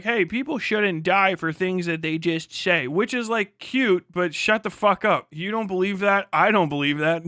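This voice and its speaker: Male streamer, high voice, forceful and bright, casual rambling commentary, irreverent, profane, slangy, dry close sound. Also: smooth, no echo. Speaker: live stream host.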